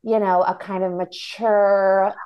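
A woman's voice: medium pitch, level tone, warm tone, clean tone, highs cut off. Playful, slightly nasal young woman. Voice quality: a little nasal. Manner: playful, self-mocking, quick asides. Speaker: podcast co-host, older sister. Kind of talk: casual chat.

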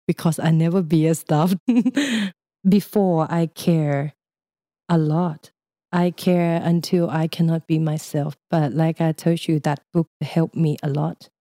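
A woman's voice: deep voice, dark tone, no echo, clean studio sound. A young Thai woman with a Thai accent, speaking English as a second language in a casual, chatty interview and laughing often. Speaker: guest.

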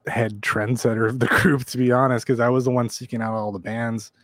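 A man's voice: mid-range voice, flat delivery, brisk, a bit bright, no echo. A man in his forties talking casually as a podcast guest, laid-back and swearing freely. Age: 40s. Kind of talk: casual chat.

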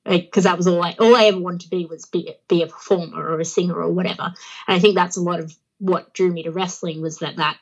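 A young woman with an Australian accent talks freely in a casual interview. Her voice sits a little low, with a phlegmy catch in the throat, and she laughs often between filler-heavy thoughts. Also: steady tone, quick pace, poor audio. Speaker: guest, pro wrestler.

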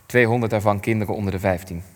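An actor playing an elderly war survivor in a radio play, grieving and ashamed, speaking in measured, weighty phrases. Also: male voice, on the low side, fairly even intonation, natural voice. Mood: grave recollection. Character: elderly male war survivor, grief-stricken, ashamed, plain-spoken. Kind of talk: radio play acting, testimony. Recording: long hall-like reverb.

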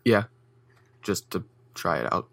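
A man's voice: low voice, very animated, measured pace, vocal fry, no echo, studio quality. A young man co-hosting a casual podcast, speaking matter-of-factly and often repeating his words as he starts. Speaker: podcast co-host.

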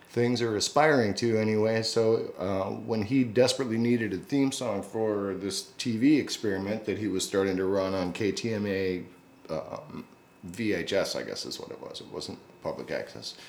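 A man's voice: fairly low pitch, normal speed. A man talking casually on a podcast, telling rambling stories with many fillers. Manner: rambling, chatty storyteller. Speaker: guest musician. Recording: echoey room with faint noise.

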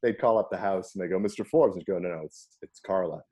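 A man with a very low, mellow baritone talks loosely about music. He rambles with frequent ums and breaks into easy laughs, relaxed and conversational. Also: fast talker, breathy edge. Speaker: singer. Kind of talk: casual conversation.

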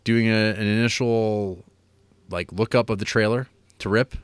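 A low-pitched man with a smooth, resonant voice, chatting loosely about a film on a podcast. He rambles, trails off, and drops wry, deadpan jokes, recorded dry and close to the mic. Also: moderate pace, no echo. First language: English. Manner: rambling, filler-heavy, wry. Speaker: podcast co-host reviewing a film. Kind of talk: casual film review chat.

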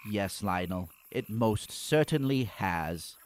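Man playing a wry, morose boy, swooping pitch. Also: slow pace. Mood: dry, calm. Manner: prim, wordy, deadpan. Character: morose, wry young boy poet, dryly reasonable. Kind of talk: comedic radio play acting.

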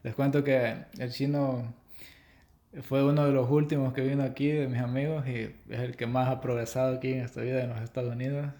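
A man's voice: medium pitch, little pitch movement, fairly quick, warm tone. A man hosting a casual podcast chat, teasing his friend in banter as he leads the questions. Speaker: podcast host.

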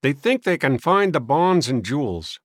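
Man voicing a testy old gentleman, raised pitch. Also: sing-song, normal speed, no room sound, clean studio sound. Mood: brusque. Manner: testy, scoffing, grumbling. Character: irritable, suspicious, stooped old gentleman. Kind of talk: audiobook character voice.

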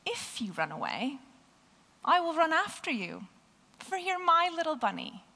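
A woman's voice: high-pitched, big pitch swings, a little slow, gravelly, voice pushed up, exaggerated voice. Woman doing a devoted mother bunny. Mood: tender. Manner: gentle storybook read-aloud voice. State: croaky, hoarse voice.

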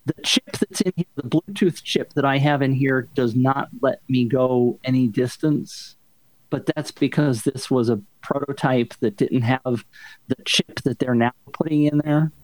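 A man's voice: medium pitch, unhurried, poor audio. A man guesting on a casual tech podcast, speaking hesitantly with fillers.

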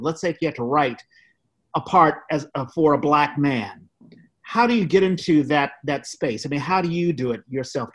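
An American man co-hosting a podcast in casual conversation. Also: on the high side. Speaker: podcast co-host, playwright.

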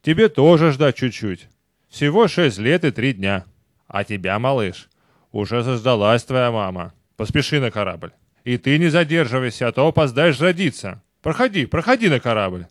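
A man's voice: mid-range voice, big pitch swings, moderate pace, no echo, studio quality, close to an everyday voice. An actor playing a stern, commanding old man, Father Time, in an audio play. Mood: urging. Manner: commanding, theatrical. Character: stern tall gray-haired old man, Grandfather Time.

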